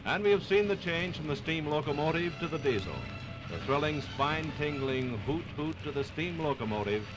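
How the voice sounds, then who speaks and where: A man's voice: a little high, normal speed, low-quality recording. An American man narrating a 1950s radio documentary from a script in a formal, emphatic, oratorical manner. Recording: 1950s radio program on vinyl. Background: music.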